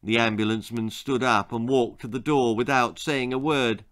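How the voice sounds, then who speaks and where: A man in his sixties with a British accent, reading a spooky comic children's story in a measured, dramatic way. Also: medium pitch, fairly even intonation, a bit bright. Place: small book-lined room indoors. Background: music.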